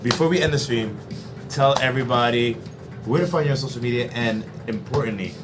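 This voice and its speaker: A man's voice: mid-range voice, a little fast, music in the background. A man hosting a live stream in casual chat, playful and hyping things up. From United States. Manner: playful, animated, hypes things up. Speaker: stream host.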